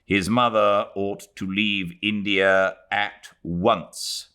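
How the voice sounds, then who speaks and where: A man's voice: fairly low pitch, fairly even intonation, extremely slow, sharp tone, smooth. A man with a British accent, working as an audiobook narrator, reading literary prose. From Britain.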